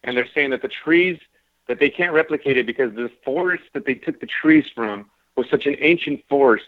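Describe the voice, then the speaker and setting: Radio host, a man who talks fast and earnest, tinny and dry sound. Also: mid-range voice, no room sound. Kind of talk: casual radio talk show chat.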